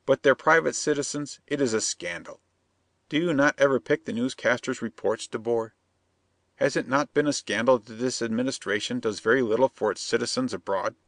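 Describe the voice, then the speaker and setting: Man putting on a high, stiff-spoken schemer. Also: brisk, fairly bright tone, dry recording, studio quality, lightly acted. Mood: insistent. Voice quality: stiff, broken phrasing. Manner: insistent, persuasive. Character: scheming male plotter, vehement, stilted speech.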